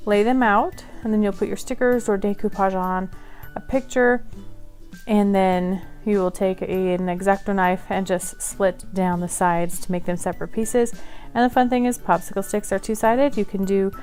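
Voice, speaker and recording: A woman's voice: medium pitch, steady tone, normal speed, darkish tone, soft background music. A woman hosting a casual craft tutorial, talking in a chatty, friendly way and laughing at herself now and then. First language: English; Polish is her second language. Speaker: craft channel host.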